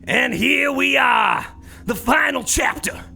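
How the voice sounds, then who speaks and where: An actor playing a grandiose, vengeful space villain boss in declamatory style in a live musical comedy. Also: male voice, extremely high pitch, slow, creaky, full character voice. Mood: gloating. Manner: theatrical, declamatory. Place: live stage in a small theater. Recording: live theater stage with loud music.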